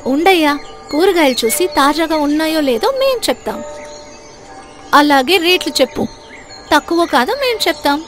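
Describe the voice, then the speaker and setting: A crafty young daughter-in-law from a cartoon story, acted by a woman. She is brazen and argumentative, pitched very high, with faint music behind her. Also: lively intonation, normal speed, pauses often. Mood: bossy, haggling. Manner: bossy, argumentative.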